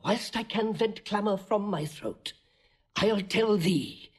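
High-voiced, defiant nobleman. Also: takes it slowly, husky, heavily acted. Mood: defiant, urgent. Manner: earnest, declamatory, outspoken. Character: blunt, loyal nobleman, passionate and defiant. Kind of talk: classical Shakespearean audio drama acting.

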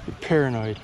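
A man hosting a reseller vlog, chatting casually and teasing his companions in a playful way. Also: mid-range voice. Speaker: vlog host, auction reseller. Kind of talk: casual chat, joking remarks to companions and camera. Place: outdoors at an estate auction. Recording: noisy outdoor handheld, traffic noise.

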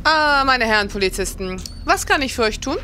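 A woman's voice: a little high, expressive, a little fast, sharp tone, slight background noise. A woman playing a plain-spoken, guarded innkeeper of about forty in a historical radio play. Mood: obliging. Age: middle-aged.

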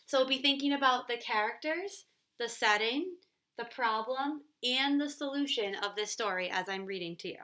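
A woman's voice: a little high, bright, forceful tone. A woman in her twenties, a schoolteacher explaining and reading aloud to young children with teacherly emphasis. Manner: clear teacherly emphasis, pauses for questions. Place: small room at home, kitchen.

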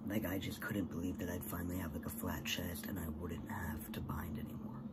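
A young man talking casually to a webcam in his bedroom, soft-spoken with many fillers, often trailing off into breathy whispers. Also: low-pitched, level tone, fairly quick, very noisy. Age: young adult. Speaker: vlogger. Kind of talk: casual personal vlog. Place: small bedroom indoors.

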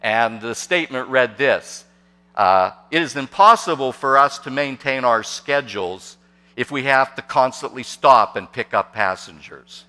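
A man's voice: medium pitch, lively intonation, measured pace, sharp tone. A man in his sixties preaching a sermon from a pulpit microphone in a large church, hesitant and full of fillers, asking the congregation questions. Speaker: pastor. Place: church sanctuary, large and indoors. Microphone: podium microphone on a gooseneck. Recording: long church reverb.

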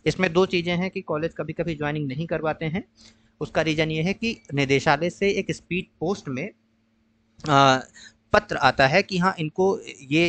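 A man's voice: fairly high pitch, a bit bright, dry recording. Patient male tutor, dry close mic. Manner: patient, explanatory, mixes English phrases with frequent "uh" pauses. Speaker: tutor explaining a college allotment process. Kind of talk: screen-share tutorial walkthrough.

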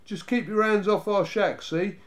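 Man acting a sneering, high-pitched boy gang leader. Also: fairly even intonation, measured pace. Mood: taunting. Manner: rough, scornful, ungrammatical street talk. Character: eldest Snarget, bossy sneering rough boy gang leader.

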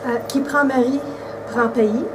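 Interviewee: woman in her 40s, québécois accent, relaxed chat, distant camera mic, hissy room. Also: sits high, unhurried. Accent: Québécois French. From Quebec, Canada. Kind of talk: casual interview answers. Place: indoors by a brick wall.

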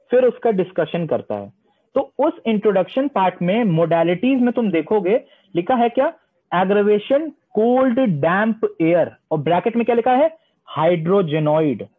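Young guy teaching homeopathy over webcam, highish voice, sounds tinny like a phone line. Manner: reads English text slowly, then explains in Hindi; asks questions and answers them himself. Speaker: homeopathy lecturer. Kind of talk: online lecture mixing Hindi and English.

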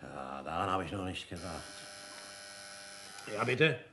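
An actor playing a courteous, authoritative senior police official in a German radio crime drama. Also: male voice, sits low, very animated, measured pace, gravelly, bright, forceful tone, full character voice. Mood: official, attentive. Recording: roomy, echoing studio sound.

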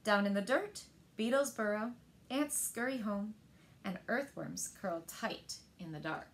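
A woman in her thirties reads picture books aloud to young children with expressive, deliberate phrasing, recorded indoors in a living room. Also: medium pitch, a little hiss or hum. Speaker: storyteller at a library story time.

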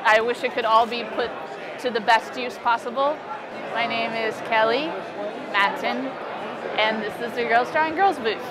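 Young American woman over busy crowd noise. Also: on the high side. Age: young adult. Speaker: artist interviewed at an expo booth. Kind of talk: casual, informal interview presentation. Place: indoor convention expo booth. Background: convention hall crowd chatter.

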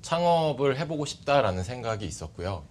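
A man in his thirties giving polite, measured explanations as an interview guest. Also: medium pitch, big pitch swings, a little hiss or hum. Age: 30s. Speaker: interview guest, startup CEO. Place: bright TV studio. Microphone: lapel mic.

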